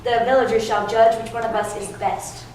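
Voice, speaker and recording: A woman's voice: fairly high pitch, flat delivery, normal speed, a little mellow, very echoey, lightly acted. Haughty, indignant goddess for stage reading, played by a woman; distant mic, noisy room. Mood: furious. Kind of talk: readers theatre stage performance.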